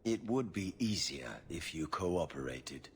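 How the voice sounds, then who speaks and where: Haughty, deep-voiced lieutenant. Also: male voice, lively intonation, a little slow, slight background noise. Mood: condescending. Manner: formal, condescending. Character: haughty, pushy male military lieutenant. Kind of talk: video game voice acting.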